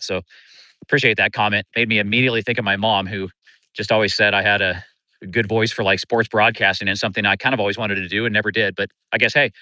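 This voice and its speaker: Middle-aged Midwestern man, livestream host, bright, dry close sound, easygoing rambling chat. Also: fairly low pitch, dry recording, poor audio. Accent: Midwestern American. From Kansas City. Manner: relaxed, rambling, greets viewers by name. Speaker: livestream host and fishing coach. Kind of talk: casual livestream chat with viewers. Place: outdoors by a river in town.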